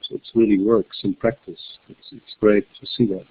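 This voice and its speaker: A man speaking as a webinar panelist in a measured way, often restarting his sentences. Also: on the low side, little pitch movement, slight background noise. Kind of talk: webinar discussion. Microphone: computer mic on a web call. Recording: tinny phone-like web call audio.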